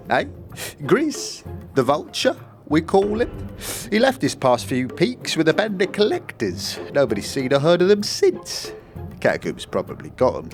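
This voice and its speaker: A man's voice: medium pitch, darkish tone. A man playing a wheedling, shifty old junk trader in a fantasy audio drama, folksy and fond of questioning tags. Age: older.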